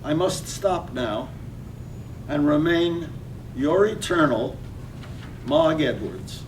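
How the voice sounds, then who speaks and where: A man acts a thrifty, lovesick draper in a poetic radio play. He declaims earnestly and slowly in a slightly raised pitch, lingering on phrases. The recording is distant, with a faint rumble. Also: expressive. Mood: tender, solemn. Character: lovesick, thrifty draper reading out his love letter. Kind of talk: stage reading of poetic radio drama.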